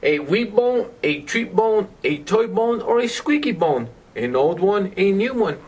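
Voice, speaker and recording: A storybook pet dog begs for a bone , voiced by a man. The voice is raised high and drawn out, sing-song and beseeching, with faint noise behind it. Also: big pitch swings, a little slow, a little mellow. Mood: pleading.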